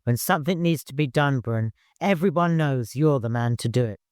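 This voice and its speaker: A man's voice: medium pitch, animated, moderate pace, darkish tone, smooth, no room sound, clean studio sound, close to an everyday voice. Man reading as a mischievous, talkative old man. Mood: reassuring. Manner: teasing, insistent, knowing. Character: mischievous, talkative old male servant in a novel.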